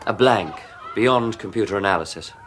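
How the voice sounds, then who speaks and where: An actor playing a concerned, inquisitive family friend in a British radio drama, with an earnest, questioning manner. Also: male voice, on the low side, moderate pace, close to an everyday voice. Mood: concerned, puzzled. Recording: faint noise on radio broadcast.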